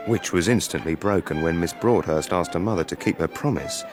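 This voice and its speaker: A wry, gossipy manservant played by a male actor in a period radio play. His voice sits fairly low as he tells tales on his betters with a knowing air. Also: fairly quick, music in the background, natural voice. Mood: wry storytelling. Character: gossipy English manservant narrating his employers' affairs. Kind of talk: radio drama narration and dialogue.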